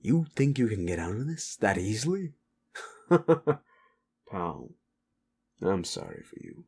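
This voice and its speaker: A man playing a stern, weary keeper of an underworld club in an audio drama, measured, scolding and authoritative. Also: on the low side, huge pitch swings, gravelly, a little mellow, husky, exaggerated voice. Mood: exasperated scolding. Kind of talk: dramatic audio roleplay acting.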